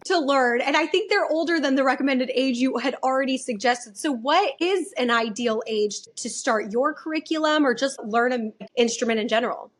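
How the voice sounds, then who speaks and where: A chatty woman hosts a podcast, sounding enthusiastic as she recalls meeting her guest. Her voice sits a little high. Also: moderate pace, few pauses. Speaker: podcast host, homeschool mom. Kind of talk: casual interview talk.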